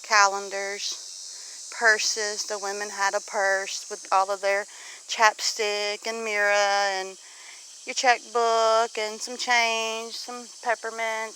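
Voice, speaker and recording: A woman's voice: medium pitch, sharp tone, poor audio. A woman with a Southern US accent chatting casually in a plainspoken, folksy way, as a homestead vlogger talking to viewers. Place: outdoors on a farm among animals. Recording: outdoor farm noise, animals and insects. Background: faint animal and insect sounds.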